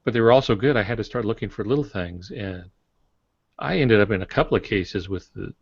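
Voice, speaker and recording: A man co-hosting a writing podcast, speaking in a relaxed, thoughtful way with frequent pauses and fillers. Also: on the low side, no room sound. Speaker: podcast co-host, author. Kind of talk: casual podcast chat. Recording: dull lo-fi audio.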